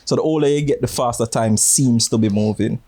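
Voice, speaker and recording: A man in his thirties with a Jamaican accent, co-hosting a podcast in relaxed chat, switching between standard English and patois and laughing often. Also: medium pitch, a little fast. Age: 30s. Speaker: podcast co-host. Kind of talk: casual chat.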